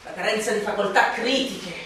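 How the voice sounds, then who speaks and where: A woman's voice: mid-range voice, brisk. Echo-drenched theatrical woman reciting satire. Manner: declamatory, sardonic, swinging from hushed to shouted. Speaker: stage actress performing a satirical monologue. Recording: echoey hall, distant mic, noisy.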